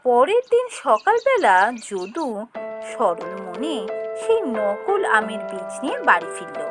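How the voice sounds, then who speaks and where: A woman's voice: on the high side, wildly swooping pitch, slow, over music. A woman narrating a scripted fairy tale for a children's cartoon.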